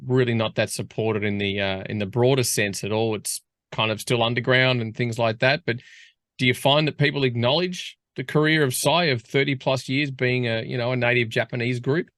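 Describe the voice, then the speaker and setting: A man's voice: medium pitch, steady tone, normal speed, fairly bright tone, no echo, clean studio sound. An Australian man hosting a radio interview, asking long, rambling, chatty questions. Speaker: radio host.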